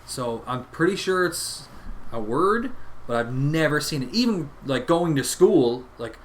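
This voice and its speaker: A man's voice: fairly high pitch, lively intonation, unhurried, slight background noise, rough recording. A young man with a Newfoundland accent co-hosting a casual chat show, earnest and opinionated. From Newfoundland, Canada. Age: young adult. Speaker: podcast co-host.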